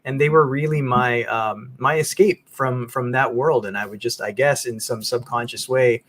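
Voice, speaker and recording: A man's voice: mid-range voice, steady tone, moderate pace, a bit bright. A man in his thirties who grew up in the Philippines, co-hosting and sharing memories in a reflective, hesitant way. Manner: reflective, hesitant, many restarts. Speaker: co-host. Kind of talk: casual interview chat.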